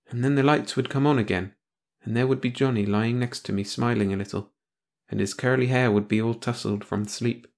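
A man voicing a frightened young wife who pleads softly for her husband. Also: medium pitch, steady tone, fairly quick, close to an everyday voice. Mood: hushed. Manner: trembling, pleading, soft.

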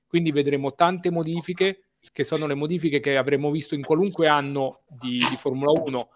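A man's voice: on the high side, fairly even intonation, fairly quick. Brief: male technical commentator, thirties, methodical and explanatory, lo-fi headset audio. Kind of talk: video call interview. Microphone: headset mic.

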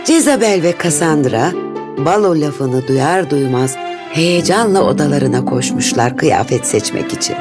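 Low-voiced woman narrating a fairy tale. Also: lively intonation, measured pace. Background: instrumental music.

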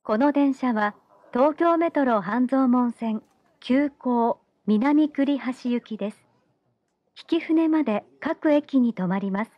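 A woman's voice: high voice, slow, dry recording. A woman reading scripted train announcements on a slightly muffled recording.

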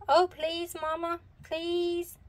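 A woman voicing an eager little girl bear cub who begs excitedly in a storybook. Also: extremely high pitch, drawn-out words, pitched above the performer's own voice, full character voice. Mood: pleading, eager. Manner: excited, begging.

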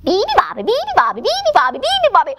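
A woman's voice: extremely high pitch, wildly swooping pitch, rapid-fire, a bit bright, pitched above the performer's own voice, full character voice. A woman voicing a tiny, cheerful baby bird with a chirpy sing-song manner, from a children's storybook. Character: tiny cheerful wide-awake baby bird, singing relentlessly.

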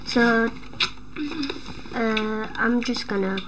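A woman's voice: a little high, fairly even intonation, very slow, over a noisy crowd, rough recording. A woman hosting her own gaming videos and losing her voice, rambling through casual gameplay commentary with sudden startled exclamations. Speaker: gaming channel host.

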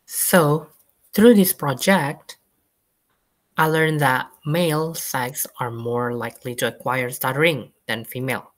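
Very low-voiced young woman presenting. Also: steady tone, unhurried. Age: young adult. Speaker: student presenter. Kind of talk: class presentation on a video call.

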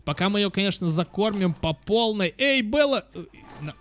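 A man's voice: sits high, animated, moderate pace, fairly bright tone. A young man giving casual let's play commentary for children, chatty, thinking aloud and repeating words. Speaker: gaming channel host. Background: game music.

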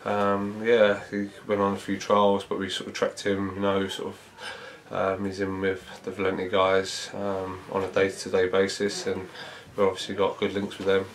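Low, flat-voiced football manager. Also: male voice, a little hiss or hum. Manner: measured, reflective, many fillers. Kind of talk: post-match interview. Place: small indoor press room before a sponsor board.

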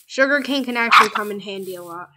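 A young woman playing a block-building game with a friend, chatting casually, excitable and insistent, dropping to murmurs while she plays. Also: medium pitch, fast talker. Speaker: gamer. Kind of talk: casual let's play chat.

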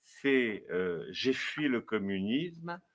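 A man's voice: medium pitch, sing-song, slow. A man, the host, prompting guests with short questions and nods. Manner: brief affirmations, prompting questions. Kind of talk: panel moderation.

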